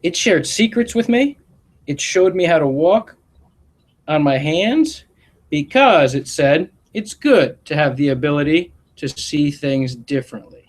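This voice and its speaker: Expressive man reading aloud, swooping intonation. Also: on the high side. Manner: expressive, deliberate storytelling delivery. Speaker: school principal reading to students. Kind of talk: live picture-book read-aloud with asides.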